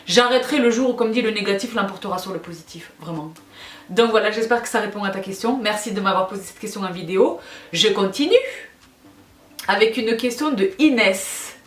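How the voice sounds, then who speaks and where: A woman's voice: medium pitch, fast. A woman chatting casually and affectionately to her followers as a video host, emphatic and given to repeating her phrases. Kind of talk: casual question-and-answer chat to followers. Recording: echoey, roomy sound.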